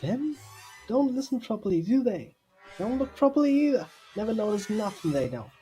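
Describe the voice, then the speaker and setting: A woman acting as a young male bus conductor in an audio drama, cheeky and gossipy. The voice is mellow and husky, swinging freely in pitch over a music bed. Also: big pitch swings, moderate pace. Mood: dismissive. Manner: colloquial, chatty, gossipy. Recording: music under the voice.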